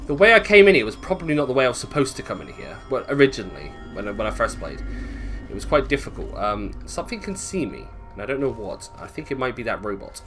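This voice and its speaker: A man's voice: mid-range voice, sing-song, quick pace, fairly bright tone, soft background music. A British man giving casual gameplay commentary, thinking aloud, swearing and questioning himself as he goes. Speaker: gaming video host.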